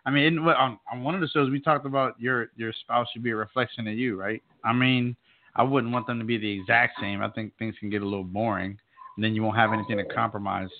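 A man's voice: fairly even intonation, fast, a little hiss or hum. A man on a talk show panel, giving his opinions in casual, conversational speech. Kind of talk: casual discussion. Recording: muffled low-bandwidth call audio.

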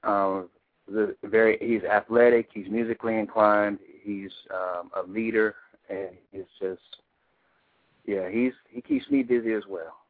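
A co-host on a call-in radio show, playful and joking, laughing a lot between remarks. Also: male voice, on the low side, fairly even intonation, measured pace. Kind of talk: casual call-in radio chat. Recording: tinny phone line.

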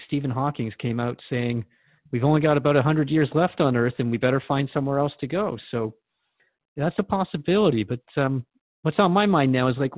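A man's voice: medium pitch, dry recording, narrow, tinny audio, natural voice. A man playing an earnest, thoughtful radio host who interviews in a reflective, conversational and sometimes hesitant way.

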